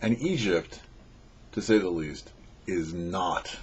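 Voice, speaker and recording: A man who is a rabbi, giving a short weekly Torah teaching with measured, slow emphasis on key phrases. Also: sits low, expressive, muffled recording, slight background noise. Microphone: studio microphone.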